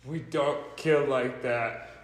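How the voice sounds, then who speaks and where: A man's voice: mid-range voice, steady tone, takes it slowly, lightly acted. A man playing a principled, moralizing mercenary teammate in an amateur comic script read. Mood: reproachful. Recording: very echoey room, clear background noise.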